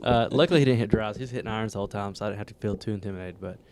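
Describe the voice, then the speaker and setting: A man's voice: lowish voice, very fast. Laughing Southern man telling a golf story. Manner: laughs often, animated storytelling. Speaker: podcast co-host. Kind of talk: casual chat.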